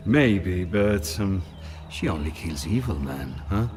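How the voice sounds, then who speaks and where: A man playing a bashful, amiable Italian partisan fighter in a war game, talking haltingly and feeling for his words. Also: low voice, sing-song, music in the background, exaggerated voice. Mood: nervous, amused. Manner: hesitant, halting, slow. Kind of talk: dramatic game cutscene acting.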